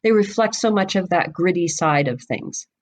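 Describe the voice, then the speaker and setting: A woman's voice: fairly low pitch, animated, moderate pace. A woman speaking as a podcast guest, explaining things conversationally in an expansive, knowledgeable way. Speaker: guest expert on a book podcast. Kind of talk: conversational explanation of literary history.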